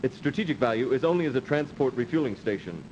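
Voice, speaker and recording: Dutiful space officer played by a man, mid-pitched, formal and cautious, video game briefing, lo-fi audio. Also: lively intonation, a little mellow, lightly acted. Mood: formal, serious. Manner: formal, measured. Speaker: military adviser in a video game. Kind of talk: 1990s video game cut-scene acting. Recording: dull, noisy old CD game audio.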